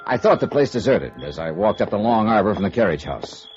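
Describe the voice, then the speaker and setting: A hard-boiled private investigator from old radio noir. He is brisk and tough, with clipped phrases that swing widely in pitch. It sounds like a dull vintage broadcast recording. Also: big pitch swings, darkish tone, faint music behind. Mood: brisk, businesslike. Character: hard-boiled, no-nonsense male freelance insurance investigator. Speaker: lead actor and first-person narrator. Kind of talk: 1950s radio noir acting.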